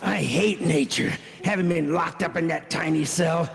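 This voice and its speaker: A man's voice: a little high, normal speed, creaky, in a large hall. An actor playing a vengeful, ranting escaped wolfman convict in a comic old-time radio drama. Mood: bitter, vengeful.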